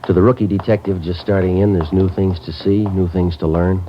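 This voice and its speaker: An actor playing a stoic police detective, narrating and talking in a clipped, matter-of-fact way, in a 1950s radio drama. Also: male voice, low voice, steady tone, a little fast, slight background noise, natural voice. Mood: calm. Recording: dull old radio broadcast with music.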